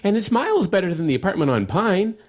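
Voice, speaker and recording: Radio drama dad: broke, nervously upbeat, hesitant, with swinging, lively pitch. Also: male voice, fast. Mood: cajoling, upbeat. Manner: hesitant, stammering, placating, salesman-like cheer. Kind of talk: audio drama acting.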